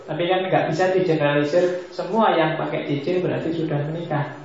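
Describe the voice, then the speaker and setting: A man, his voice pitched a little high, lectures on philosophy in a casual, joking way and drifts into asides. He is recorded in a large mosque hall that fills with long echo, and the sound is dull and lo-fi. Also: steady tone, a little fast, darkish tone. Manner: joking, mixes Javanese asides.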